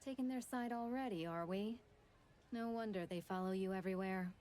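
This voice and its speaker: A woman's voice: medium pitch, a little fast, darkish tone, close to an everyday voice. A woman playing a cocky, teasing female boss in a video game, sardonic and breezy.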